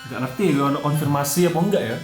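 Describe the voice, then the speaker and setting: A man's voice: a little high, expressive, fast talker. A young man chats casually as co-host, chiming in with short interjections. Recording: roomy sound under clear music. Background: background music.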